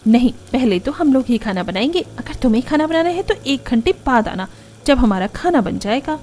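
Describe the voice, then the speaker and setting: A woman's voice: high-pitched, animated, brisk, a little mellow. A woman voicing a jealous, bossy elder sister-in-law in a cartoon family drama, snippy and complaining. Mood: bossy, dismissive.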